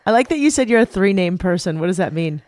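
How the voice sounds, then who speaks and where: A woman's voice: lowish voice, expressive, fast talker, a little mellow. A woman podcast host narrating a scripted intro and interviewing a guest with upbeat enthusiasm. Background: music bed under narration.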